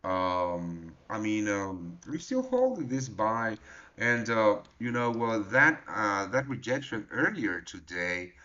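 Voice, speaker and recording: A man hosting a trading livestream in a relaxed, halting way, with many fillers as he answers the chat. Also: on the low side. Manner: reads and answers chat directly. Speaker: trading show host. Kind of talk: casual livestream market talk. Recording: dull lo-fi livestream audio.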